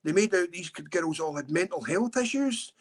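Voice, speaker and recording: A man's voice: high-pitched, animated, normal speed. A middle-aged Scottish man telling a story in an earnest, urgent way. He talks a lot, in a casual podcast chat. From Scotland. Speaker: campaigner and guest.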